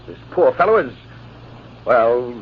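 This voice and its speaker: An actor playing a proud, honor-bound army captain in a theatrical, formal 1940s radio drama style. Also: male voice, medium pitch, sing-song, slow pace. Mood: indignant, proud. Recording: muffled, noisy old radio broadcast.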